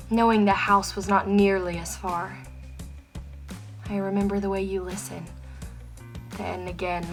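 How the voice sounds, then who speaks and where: A woman reading a poem aloud expressively, moving between emphatic and hushed phrases. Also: medium pitch. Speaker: poetry reader.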